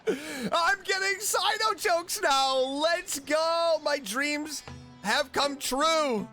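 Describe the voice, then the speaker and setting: A game streamer giving casual, excitable, exclamatory commentary while playing a video game. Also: sits high, extremely slow. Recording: game music behind the voice. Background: video game music.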